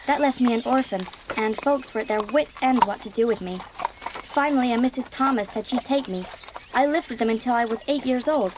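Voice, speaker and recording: A woman's voice: fairly high pitch, fairly quick, background noise, close to an everyday voice. An actress playing an earnest, talkative, polite eleven-year-old orphan girl in a dramatised audiobook. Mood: earnest, matter-of-fact.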